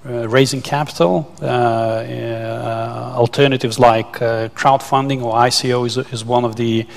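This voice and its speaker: Male panel moderator with vocal fry, even intonation and a stop-start delivery, in a reverberant hall. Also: mid-range voice. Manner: halting, repeats words while forming questions. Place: indoor conference stage. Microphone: headset microphone.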